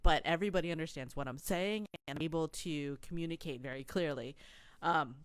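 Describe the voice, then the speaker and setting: A woman co-hosting a travel podcast in casual chat, telling stories in an animated way with plenty of fillers. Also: sits low, fairly quick, clear, resonant tone, dry recording. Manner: chatty, animated storytelling with many fillers. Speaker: podcast co-host.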